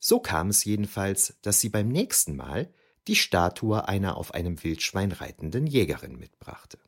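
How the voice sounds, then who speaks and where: Man playing a deep-voiced, reflective historian narrator. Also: wildly swooping pitch, normal speed, heavily acted. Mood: reflective, wry. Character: reflective male ancient historian recalling his youth. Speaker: narrator of an audio drama. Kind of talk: scripted first-person narration.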